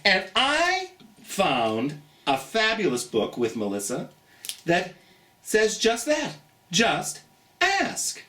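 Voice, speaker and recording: Very animated, slow-paced children's storytime host. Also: medium pitch. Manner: expressive, encouraging, asks questions of young listeners. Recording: roomy, echoey sound.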